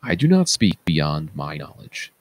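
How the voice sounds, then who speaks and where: A man playing a stern, measured new ruler, speaking in firm, clipped commands in a reading of Greek tragedy. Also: rumbling low, wildly swooping pitch, no room sound, voice pushed down, full character voice. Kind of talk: read-aloud Greek tragedy.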